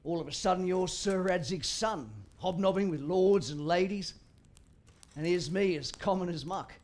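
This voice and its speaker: A woman's voice: on the low side, moderate pace, darkish tone, close to an everyday voice. A woman playing a cheeky, down-to-earth young commoner in a game drama, speaking naturally and informally. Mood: wry, self-deprecating. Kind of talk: naturalistic game cutscene acting.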